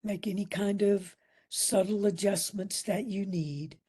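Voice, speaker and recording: A woman leading a guided meditation as instructor, soft and breathy, soothing and gentle, leaving long pauses between phrases. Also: medium pitch, fairly even intonation. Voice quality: soft, breathy, at times near whisper.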